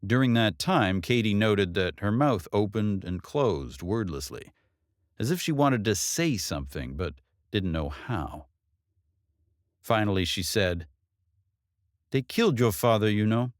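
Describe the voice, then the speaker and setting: A man's voice: on the low side, expressive, unhurried, dry recording, studio quality. A man reading a novel aloud as an audiobook narrator, in scripted storytelling style.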